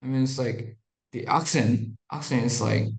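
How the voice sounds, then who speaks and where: A young adult man with a Korean accent, a singer and guest answering interview questions in halting English with short replies. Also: medium pitch, vocal fry, echoey room, rough recording. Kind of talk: casual interview chat. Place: radio studio.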